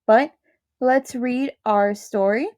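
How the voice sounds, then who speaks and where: A woman teacher addresses her first-grade class in a slow, careful, tender way. Her voice sits a little high, and the recording is dry and close, with no room sound. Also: no echo. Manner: slow, careful, warm-hearted delivery to young kids.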